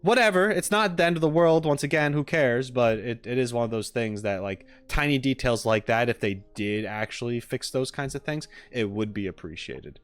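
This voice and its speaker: A man's voice: medium pitch, normal speed, hardly any pauses, smooth. A man chatting casually on a livestream as the streamer, in an informal, rambling way with joking asides. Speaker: live streamer reacting and chatting with viewers.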